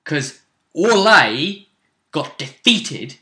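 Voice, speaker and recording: A man's voice: fairly high pitch, sing-song, very slow, pushed and bright. A man chatting casually as a game master, recapping a tabletop role-playing session and often repeating phrases as he goes.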